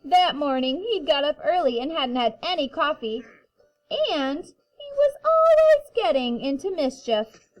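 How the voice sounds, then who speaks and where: Female kindergarten teacher for picture-book read-aloud: high, slow, playful sing-song delivery. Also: big pitch swings, soft background music, low-quality recording. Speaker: kindergarten teacher reading to her class.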